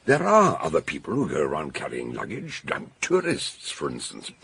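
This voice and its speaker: An actor playing a jovial, commanding older detective in a British radio mystery, with a British accent, a gruff voice and a theatrical, expansive way of explaining. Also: male voice, a bass voice, wildly swooping pitch, normal speed, a bit bright, no room sound, full character voice. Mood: genial, explaining. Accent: British English.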